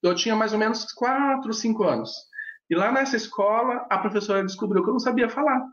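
A man with a notably high voice, whose first language is sign language, tells his life story in halting speech full of fillers and restarts. The sound is muffled and lo-fi, carrying the echo of a reverberant room. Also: fairly bright tone. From Brazil. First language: Brazilian Sign Language. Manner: hesitant, many fillers and restarts. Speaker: pastor and sign language interpreter. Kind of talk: personal storytelling in an interview. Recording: echoey, dull lo-fi sound.

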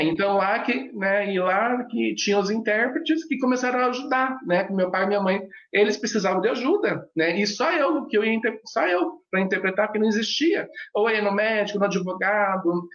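High-voiced man, echoey and muffled. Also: a bit bright. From Brazil. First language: Brazilian Sign Language. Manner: hesitant, many fillers and restarts. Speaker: pastor and sign language interpreter. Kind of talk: personal storytelling in an interview. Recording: echoey, dull lo-fi sound.

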